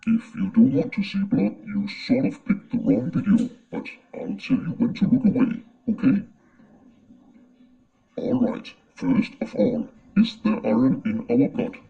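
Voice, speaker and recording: A man presenting a scripted science explainer, his voice run through a pitch-shifting voice changer. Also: very animated, measured pace, creaky, breathy edge. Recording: muffled, lo-fi voice-changed audio.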